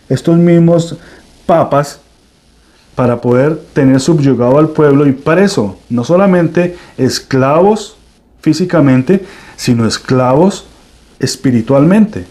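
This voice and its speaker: A man with a Colombian accent delivers a sermon, his voice mellow and dark in tone. He preaches with emphatic swells and often restarts his phrases mid-sentence. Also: a little high. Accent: Colombian Spanish.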